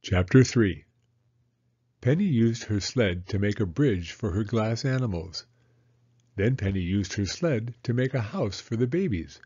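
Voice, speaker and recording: A man narrating a children's read-aloud story in an expressive, deliberate manner. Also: lowish voice, breathy edge, muffled recording.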